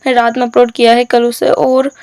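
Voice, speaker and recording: A woman with a hoarse voice who hosts her own channel and retells a story in a chatty, rambling way, mixing in English words. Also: fairly high pitch, flat delivery, brisk, darkish tone, no echo, studio quality. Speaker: story explainer, channel host. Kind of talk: casual story narration.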